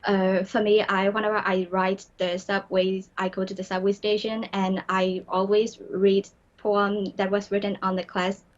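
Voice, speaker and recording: A woman's voice: medium pitch, level tone, normal speed, few pauses, highs cut off. Earnest young woman from Myanmar. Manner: earnest, with frequent restarts and fillers. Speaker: graduate student guest. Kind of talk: studio interview answers.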